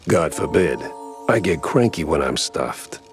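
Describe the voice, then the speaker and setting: An actor playing a wisecracking, deadpan hard-boiled mouse detective in a noir cartoon game. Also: male voice, very deep voice, measured pace, music in the background, full character voice. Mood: sardonic. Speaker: private investigator protagonist.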